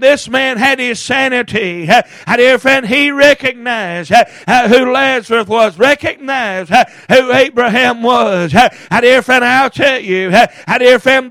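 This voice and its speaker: A man preaches with a very high, strained voice. He chants short phrases, breaking each with a rhythmic gasped "uh, uh," and often rises to a shout. The recording is dry and close. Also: dry recording. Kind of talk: chanted hellfire sermon.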